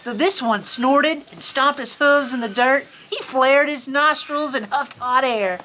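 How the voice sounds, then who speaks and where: A woman reading a picture book aloud to children in an expressive, folksy, chatty storytime manner. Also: high-pitched, measured pace, slight background noise. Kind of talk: animated children's story reading with casual asides. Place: on a farm among sheep.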